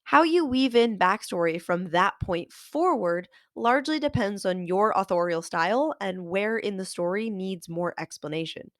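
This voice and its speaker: A woman hosting a podcast lesson on fiction writing, her voice nasal and a little congested from a cold. She explains in a clear, measured, scripted way at a middle pitch. The recording is close and dry, with no room sound. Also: expressive, no echo. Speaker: podcast host and author.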